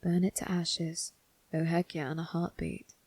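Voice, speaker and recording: Woman as a breathy, low-voiced sly demon servant. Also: fairly even intonation, unhurried, darkish tone. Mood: resentful. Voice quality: breathy, whispery. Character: demon triplets disguised as servants, sly, predatory, sadistic. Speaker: voice actor. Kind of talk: ASMR roleplay, scripted.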